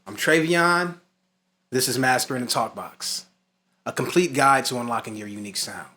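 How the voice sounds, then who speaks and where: A man's voice: medium pitch, moderate pace, sharp tone. A man hosting an informal tutorial, part scripted and part conversational, stressing and repeating his key points. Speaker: instructor and host of a talk box tutorial.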